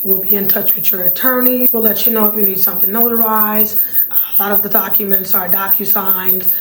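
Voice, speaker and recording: A middle-aged woman with a raspy voice, hosting a casual vlog. She talks in a chatty way, repeats words for emphasis and laughs often. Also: mid-range voice, fairly even intonation, a little fast. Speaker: channel host, surplus funds recovery business owner. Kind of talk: casual talking-to-camera vlog. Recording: roomy echo with faint room noise.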